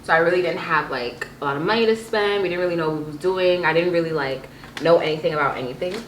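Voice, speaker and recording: Young woman in her 20s telling stories, lowish voice, slangy and animated, bit of background noise. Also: quick pace. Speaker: vlog guest telling stories with sibling. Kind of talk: casual chat, storytelling. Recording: echoey room with background noise.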